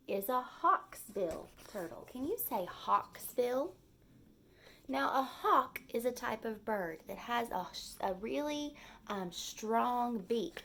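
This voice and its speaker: A woman with a fairly high voice and a touch of vocal fry leads storytime like a teacher. She takes her time, using simple words and clear phrases meant for small children. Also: slight background noise. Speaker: teacher reading to young children. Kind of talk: storytime lesson with read-aloud and simple explanations.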